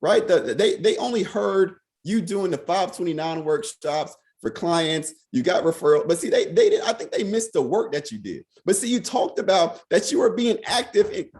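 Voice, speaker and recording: A man leading a financial sales team on a video call, hoarse from being sick, talking fast and repeating "right?" over and over. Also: high-pitched, lively intonation, darkish tone. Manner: fast, repetitive, peppered with "right?". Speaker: financial services team leader. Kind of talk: motivational talk on a video call.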